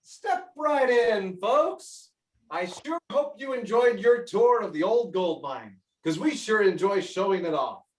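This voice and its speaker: A woman's voice: mid-range voice, lively intonation, a little slow, a little mellow, lightly acted. A woman acting a folksy, salesy and bossy gold-mine tour host in a stage comedy, with showy patter, heard in an echoey room. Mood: chirpy, salesy. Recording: echoey room, far from mic.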